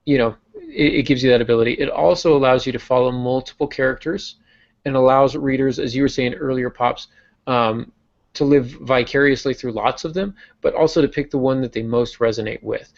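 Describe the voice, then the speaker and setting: A man with a gravelly, fry-tinged voice explains writing craft on a podcast, working through his points with plenty of uh and um. The audio is dull and lo-fi. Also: medium pitch. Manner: explanatory, frequent uh and um fillers. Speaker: podcast host and novelist.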